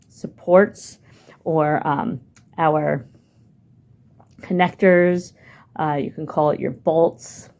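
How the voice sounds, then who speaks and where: A woman's voice: low-pitched, gravelly, a little mellow. A woman children's librarian guiding a craft activity, patient and encouraging.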